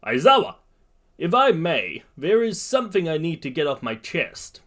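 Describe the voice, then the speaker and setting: Guy acting a stiff formal teen student, earnest, insistent, pitched up, story narration. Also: sing-song, moderate pace. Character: formal, uptight, earnest teenage boy student.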